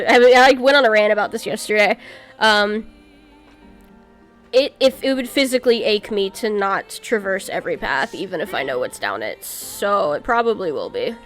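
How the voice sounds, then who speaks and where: A woman giving casual, self-deprecating live commentary while playing a video game. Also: medium pitch. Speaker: game streamer.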